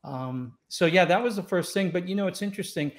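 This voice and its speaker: A man's voice: sits high, fairly quick. A man in his sixties, born in Scotland and raised in Los Angeles, telling stories as a musician guest in an easygoing, reflective way, with plenty of fillers and restarts. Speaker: saxophonist guest. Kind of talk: relaxed storytelling interview. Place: home room with wooden doors and shelves. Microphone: studio mic on boom arm, video call.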